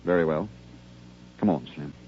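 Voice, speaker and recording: A male actor playing an earnest army lieutenant who steadies his men in measured, rhetorical phrases, in a 1950s radio drama style. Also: rumbling low. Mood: terse, commanding. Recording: muffled old 1950s radio recording.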